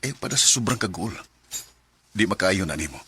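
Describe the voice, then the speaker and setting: Casting: shy young suitor, low-pitched with a very sharp, bright edge, gentle radio drama delivery. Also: male voice, animated, normal speed, slight background noise. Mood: concerned. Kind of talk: Filipino radio drama acting.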